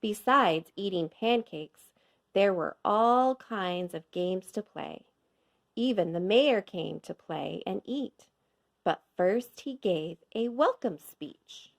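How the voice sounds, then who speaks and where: Slow, animated woman reading a storybook. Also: medium pitch, creaky. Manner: expressive, measured storytelling for young children. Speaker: kindergarten teacher reading aloud. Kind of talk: scripted children's storybook narration.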